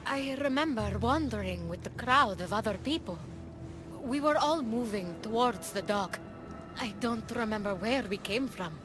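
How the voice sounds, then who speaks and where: A woman's voice: fairly high pitch, lively intonation, normal speed, over music, natural voice. An actress playing a puzzled dead woman in a video game, recalling things in a hesitant, searching way. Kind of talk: video game dialogue acting.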